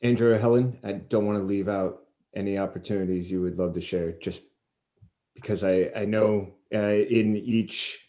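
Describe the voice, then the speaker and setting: A man's voice: on the low side, steady tone, moderate pace, mellow. A man hosting a conversational interview, putting his questions politely and hesitantly. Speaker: interview host.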